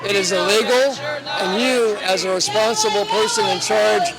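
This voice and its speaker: A protester speaks forcefully and indignantly at a street rally. The voice sits at a middle pitch over a very loud crowd. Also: fairly even intonation, moderate pace, low-quality recording. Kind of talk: rally speech. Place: outdoors on a city street.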